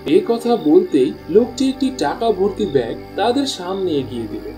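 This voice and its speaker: A man's voice: sits high, sing-song, normal speed, over music. A man reading scripted storytelling narration as the narrator of a tale.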